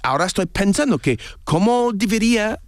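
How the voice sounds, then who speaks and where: A native English-speaking man talking as a radio guest, pitched a little high for a man. He stops often to hunt for words and restarts, yet stays keen and lively. The sound is dry and close. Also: fairly quick, no room sound. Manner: hesitant, searching for words, enthusiastic. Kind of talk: casual radio conversation.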